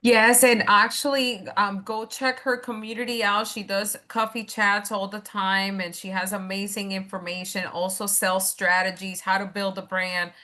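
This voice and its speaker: A woman's voice: mid-range voice, little pitch movement, normal speed, hardly any pauses, sharp tone. A woman hosting a networking event on a video call, encouraging and upbeat. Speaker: host.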